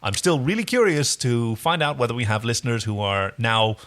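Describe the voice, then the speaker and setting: A man's voice: mid-range voice, fairly quick, a bit bright. A man co-hosting a podcast, recapping an episode in casual, enthusiastic chat. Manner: enthusiastic, effusive. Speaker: podcast co-host.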